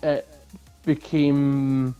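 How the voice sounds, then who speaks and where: A young adult man co-hosts a podcast in a casual, conversational style. His voice sits at an average pitch for a man, with faint music behind it. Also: flat delivery, drawn-out words, smooth, muffled recording. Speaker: podcast co-host. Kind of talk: casual chat.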